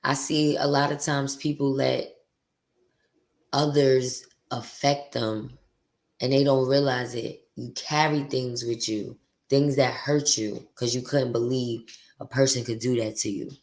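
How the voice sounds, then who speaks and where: A woman with a deep voice hosting her podcast, venting bluntly and profanely in a candid, confessional monologue. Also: fairly even intonation, measured pace, darkish tone. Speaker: podcast host.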